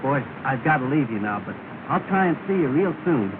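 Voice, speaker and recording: An actor playing a kindly, courteous gentleman who speaks in a genial way, on a tinny old film soundtrack. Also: medium pitch, big pitch swings, brisk, background noise, lightly acted. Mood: somber. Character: kindly gentleman, former employer's son. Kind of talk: 1930 film acting.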